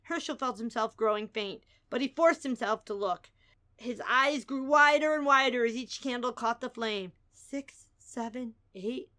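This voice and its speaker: Female narrator for dramatic storybook: highish, bright, forceful, suspenseful and emphatic. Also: measured pace.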